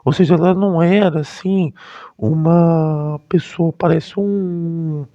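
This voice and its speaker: A Brazilian man giving casual, unscripted commentary as a history vlogger, halting and often rephrasing himself mid-sentence. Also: fairly high pitch, steady tone, warm tone, smooth. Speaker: history YouTuber. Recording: dull, lo-fi, roomy sound.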